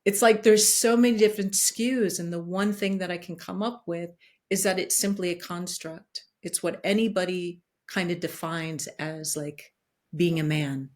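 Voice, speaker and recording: Low-voiced woman musing thoughtfully. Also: fairly even intonation, moderate pace, darkish tone. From Guatemalan and Danish roots, partly raised in the United States. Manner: thoughtful, searching, many fillers and restarts. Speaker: podcast guest, facilitator. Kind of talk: reflective conversational talk.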